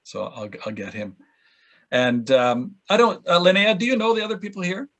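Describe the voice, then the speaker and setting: A man hosting a casual discussion and putting questions to guests in a jocular, halting way. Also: sits high, fairly bright tone. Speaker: discussion host. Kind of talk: casual chat, interviewing guests.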